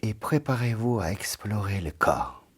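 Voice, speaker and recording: A man leading a guided yoga nidra meditation in a soft, calm voice that often drops into a half-whisper. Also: fairly low pitch, unhurried, slightly rough.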